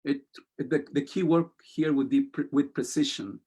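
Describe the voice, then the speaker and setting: A man with a Spanish accent, a poet and interview guest, speaking slowly and hesitantly with many pauses and fillers, and reading poems aloud. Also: medium pitch, slightly rough. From Chile. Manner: slow, hesitant, many fillers, soft-spoken.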